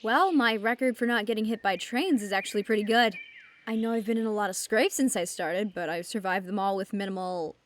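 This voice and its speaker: A woman's voice: medium pitch, fairly quick, slight background noise, natural voice. A woman voicing a chatty, scatterbrained young man in a comic fan dub, with flowery, eager speech that wanders into hesitant tangents. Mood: nervous, rambling. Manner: verbose, theatrical, hesitant tangents.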